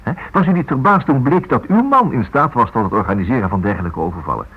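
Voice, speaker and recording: An actor playing a polite, hesitant writer in a Dutch radio play, probing in a halting way and repeating himself. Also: male voice, medium pitch, expressive, breathy edge. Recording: muffled, narrow-band old radio recording.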